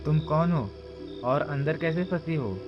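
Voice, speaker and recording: A man's voice: a little high, measured pace, highs cut off, over music, close to an everyday voice. A man voicing an uneasy young villager trying to stay brave in horror cartoon dialogue, questioning as he goes. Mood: uneasy, challenging.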